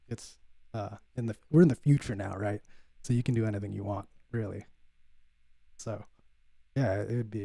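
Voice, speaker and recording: A man hosting his own livestream, chatting casually with viewers in a relaxed, laid-back way, full of fillers and calling people "bud". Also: fairly low pitch, no room sound. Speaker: livestream host, bassist. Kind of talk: casual chat reading viewer comments.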